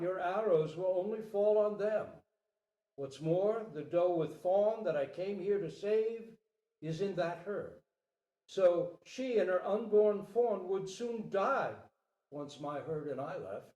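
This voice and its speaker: A man voicing a noble, selfless deer king in a storybook parable, grave, measured and resolute. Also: high voice, dark tone, muffled recording, pitched above the performer's own voice. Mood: earnest pleading. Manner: solemn, measured, pleading with dignity. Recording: roomy, echoing live recording.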